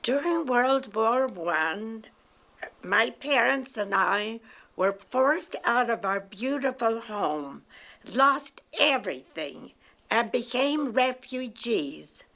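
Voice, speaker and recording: A woman in her nineties from Belgium, reminiscing about her life in a slow, steady interview. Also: on the high side. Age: 90s. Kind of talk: personal life story told in an interview.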